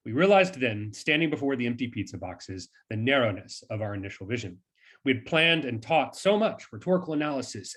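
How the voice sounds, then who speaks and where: Husky-edged man reading a scripted presentation. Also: medium pitch. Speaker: university lecturer, co-presenter.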